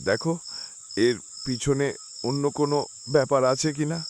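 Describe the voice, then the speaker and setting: A man playing a calm, sceptical office worker who reasons doubtfully in a horror cartoon. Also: mid-range voice, normal speed, close to an everyday voice. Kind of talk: Bengali horror cartoon dialogue. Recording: faint noise with chirping crickets.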